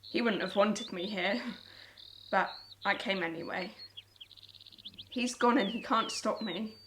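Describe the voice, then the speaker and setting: A woman's voice: mid-range voice, a bit bright. A young woman acting in an audio drama as a guarded, lonely wartime farm worker, speaking hesitantly. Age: young adult. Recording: noisy recording with birdsong. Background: birdsong.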